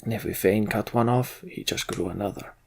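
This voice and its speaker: A man voicing a grim, centuries-old giant warrior from a fantasy game, bitter and blunt, with a gravelly, husky voice. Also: lowish voice, fairly quick, darkish tone, slight background noise. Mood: haunted, grave.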